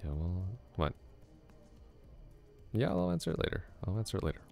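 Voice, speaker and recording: A man playing a laid-back flirty classmate, very deep. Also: huge pitch swings, normal speed, gravelly, dark tone, soft background music, full character voice. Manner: casual, easygoing, chuckles often. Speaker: ASMR roleplay voice actor. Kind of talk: ASMR roleplay, soft close talk.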